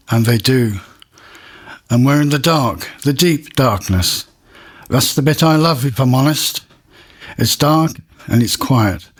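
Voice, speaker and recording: A man playing a proud, wistful cinema manager in an audio monologue, confiding and reflective, with a husky voice. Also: on the high side.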